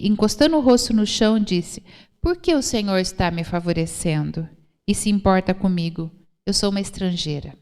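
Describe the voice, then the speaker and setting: A woman's voice: mid-range voice, clean tone. A woman with a Brazilian Portuguese accent preaching a church sermon, explaining scripture didactically and repeating phrases for emphasis. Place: church hall, speaking to a congregation. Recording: reverberant hall sound.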